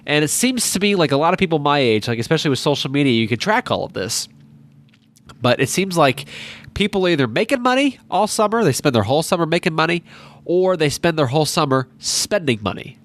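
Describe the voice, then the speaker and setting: Stuffy-nosed nineteen-year-old podcast host. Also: male voice, fairly high pitch, big pitch swings, a little fast, fairly bright tone. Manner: rambling, many restarts and asides. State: stuffed up nose from allergies. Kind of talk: casual solo podcast monologue. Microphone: podcast microphone.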